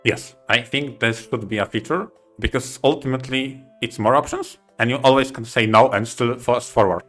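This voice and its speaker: A man's voice: mid-range voice, normal speed, a bit bright, faint music behind. A man co-hosting a podcast in casual banter, giving opinions in an emphatic, playfully stubborn way. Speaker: podcast co-host.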